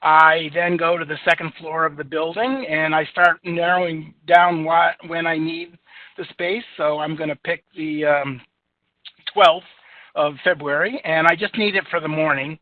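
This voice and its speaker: A man with a booming voice walking through a software demo in an easygoing, chatty way with lots of fillers. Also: steady tone, measured pace, no echo. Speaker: software demonstrator. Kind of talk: software screen-share demo. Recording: tinny phone-like audio, dry.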